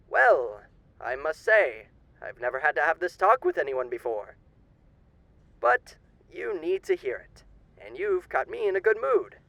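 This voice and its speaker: A man's voice: on the high side, gravelly, fairly bright tone, dry recording, full character voice. A man playing a suave demon overlord with theatrical, old-fashioned genteel diction in an audio roleplay. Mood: mock sympathy. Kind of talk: ASMR character roleplay.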